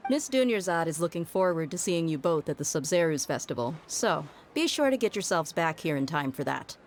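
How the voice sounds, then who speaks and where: A woman's voice: low-pitched, very animated, fairly quick, noisy background. A woman playing a young, streetwise mercenary in a video game, casual, frank and confident. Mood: easygoing. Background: game music.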